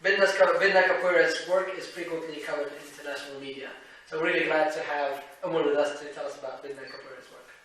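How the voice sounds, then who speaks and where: A man's voice: on the high side, steady tone, fast talker, heavy background noise. A man hosting a public talk, speaking in a loose conversational way with many fillers and restarts as he introduces a guest speaker. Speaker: event host introducing a speaker. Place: lecture hall. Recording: echoey hall, mic from far off.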